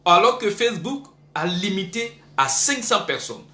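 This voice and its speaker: A fairly high male voice with an Ivorian accent, presenting a live show. He is emphatic and repeats himself, pausing often to find the next word. The room rings with echo around him. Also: big pitch swings, pushed and bright. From Ivory Coast. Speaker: web radio-television host. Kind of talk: live show sign-off with blessings and announcements. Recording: echoey room.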